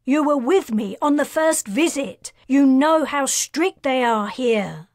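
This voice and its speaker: Lady doing a high, loud young mage gal, sharp and scolding, close to crying, dry sound. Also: lively intonation, slow, dry recording, full character voice. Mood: angry. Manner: sharp and scolding, near tears. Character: young woman, young mage, frantic and grieving.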